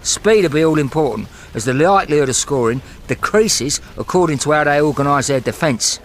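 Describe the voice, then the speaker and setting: Man playing a cheeky, higher-voiced young English soldier. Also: lively intonation, fairly quick, lightly acted. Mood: rallying. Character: cheeky, football-mad young British soldier. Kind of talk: audio drama acting.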